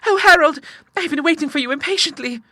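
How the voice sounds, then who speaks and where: An eager young woman as a male narrator puts her on in an audiobook. The voice sits very high and sharp, with a fervent, devoted, gushing delivery. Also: fast, no echo, full character voice. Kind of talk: audiobook character voice.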